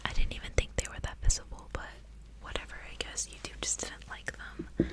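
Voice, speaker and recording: A woman doing ASMR, speaking in a soft breathy whisper, chatting casually and reading aloud, often repeating words. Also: brisk. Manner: repeats words and short phrases as triggers. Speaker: ASMR video creator and reader.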